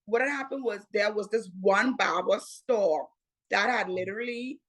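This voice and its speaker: A Bahamian woman in her twenties, a guest telling her story in a casual, unhurried interview. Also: medium pitch, a bit bright. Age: 20s. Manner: unhurried, repeats "what had happened was".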